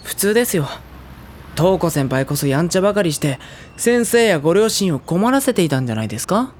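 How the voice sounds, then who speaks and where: A woman's voice: fairly low pitch, big pitch swings, brisk, a little hiss or hum. A woman playing a reserved teenage boy who narrates the story and reacts sensibly to his senior. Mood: exasperated. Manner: quiet, sober inner narration, flustered when caught. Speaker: narrator and younger student. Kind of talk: anime drama CD narration.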